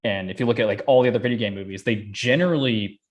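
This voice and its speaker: A man's voice: mid-range voice, quick pace. A young man chatting casually as a podcast host. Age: young adult. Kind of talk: casual chat.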